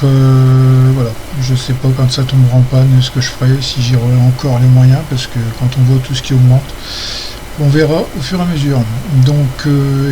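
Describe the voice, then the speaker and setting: A man chatting casually as an amateur radio operator, rambling and hesitant. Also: medium pitch. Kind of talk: casual ham radio chat. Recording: noisy amateur radio session recording.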